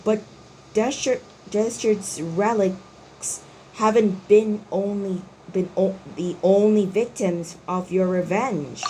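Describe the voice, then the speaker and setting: A woman's voice: mid-range voice, fairly even intonation, dark tone, slight background noise, poor audio. A woman giving casual, hesitant playthrough commentary as a game streamer. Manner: hesitant, halting reactions. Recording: voice over clearly audible game music.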